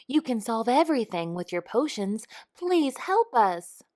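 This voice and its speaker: A woman's voice: a little high, big pitch swings, a little slow, a little mellow, voice pushed up. A woman voicing an anxious lizard who cries out and pleads for help in a storybook read-aloud. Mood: pleading. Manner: pleading, crying out. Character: anxious young lizard patient.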